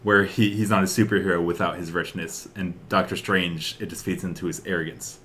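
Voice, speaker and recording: A man's voice: sits low, fairly even intonation, fairly quick, bright, forceful tone, slight background noise. A man co-hosting a podcast, chatting casually and enthusiastically about games and film news, with strong opinions. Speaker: podcast co-host.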